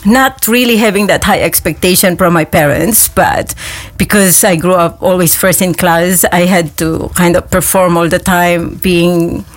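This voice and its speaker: A woman's voice: lowish voice, steady tone, unhurried, few pauses. A woman with a Filipino accent, a guest in a radio interview, talking reflectively about growing up. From Philippines. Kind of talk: relaxed interview chat.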